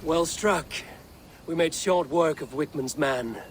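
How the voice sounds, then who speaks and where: An actress playing a steady, direct young Norse warrior woman in a video game cutscene. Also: low voice, slow, soft background music. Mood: steady, probing.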